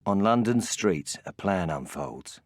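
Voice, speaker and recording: A man's voice: sits low, animated, slow, slight background noise. An actor playing the terse male scene-setting narrator of a crime video game. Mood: terse, ominous.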